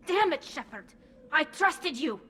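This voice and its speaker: An actress playing an earnest, principled young alien engineer in a sci-fi game, speaking with passion. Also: female voice, sits high, expressive, unhurried, bright, forceful tone, slight background noise, exaggerated voice. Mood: indignant. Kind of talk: cinematic sci-fi game dialogue.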